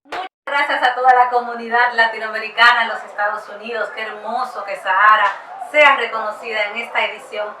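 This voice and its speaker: A woman author giving warm, grateful thanks to her readers. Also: fairly high pitch, fairly even intonation, fast. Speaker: book author. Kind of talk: thank-you message. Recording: echoey distant room recording with clear noise.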